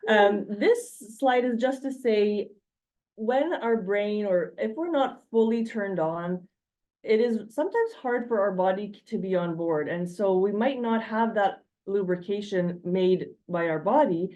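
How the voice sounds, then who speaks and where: A woman's voice: mid-range voice, measured pace, warm tone, muffled recording. A woman physician with a Canadian accent giving a frank, reassuring slide talk on health. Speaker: physician presenter. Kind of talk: educational slide presentation.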